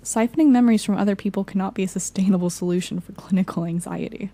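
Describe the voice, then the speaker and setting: A woman's voice: mid-range voice, quick pace, mellow, natural voice. An actress playing a weary, frank young woman, a supportive friend, in casual audio drama dialogue. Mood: weary, firm. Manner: casual, candid.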